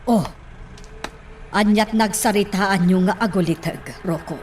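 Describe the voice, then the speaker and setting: A mid-pitched voice a little away from the mic, over faint noise. She is an impatient, money-minded accomplice in a radio drama, acted by a woman. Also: a little slow, a little mellow, distant mic, lightly acted. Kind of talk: Ilocano radio drama acting.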